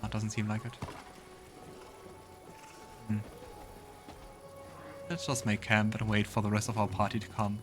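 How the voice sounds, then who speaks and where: A man's voice: on the low side, completely flat delivery, a little slow, long pauses, over music. A man hosting a let's play, mixing casual commentary with narration of the game's text. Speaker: let's play host reading game dialogue.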